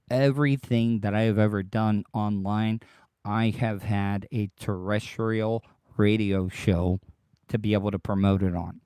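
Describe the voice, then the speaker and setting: A man hosting his own podcast livestream, rambling and repeating himself as he talks straight to his listeners, his voice worn deeper than usual by tiredness. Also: mellow, no echo, clean studio sound. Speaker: podcast host. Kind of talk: casual solo livestream monologue.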